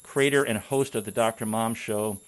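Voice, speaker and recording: A male talk show host, warm in tone and enthusiastic. He gives a glowing introduction of his guest and keeps the chat moving. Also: fairly low pitch, steady tone, moderate pace.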